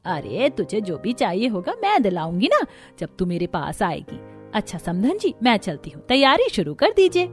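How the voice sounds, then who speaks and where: A woman's voice: mid-range voice, wildly swooping pitch, normal speed, soft background music. A woman voicing a rich, generous mother-in-law in a cartoon, gracious and indulgent.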